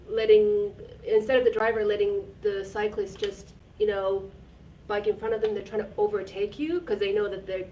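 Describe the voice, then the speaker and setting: A woman, co-hosting a relaxed live stream and talking earnestly and chattily, often restarting her sentences. Her voice sits at an ordinary middle pitch. She sounds set back from the microphone, with a faint background hum. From Finland. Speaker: co-host. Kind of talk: casual live-stream chat. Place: community repair centre. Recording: distant mic with faint background noise.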